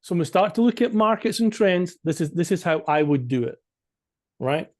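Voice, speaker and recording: High-voiced Scottish man presenting informally. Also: expressive, normal speed. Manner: conversational, emphatic, often checks in with "right?". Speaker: business coach and consultant giving a presentation. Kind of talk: informal explanatory business presentation.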